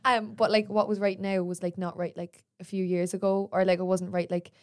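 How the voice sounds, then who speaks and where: A woman's voice: mid-range voice, little pitch movement, brisk, darkish tone. A young woman in her twenties, co-hosting a podcast in chatty, self-deprecating talk. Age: 20s. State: tired from lack of sleep. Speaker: podcast co-host. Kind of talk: casual chat.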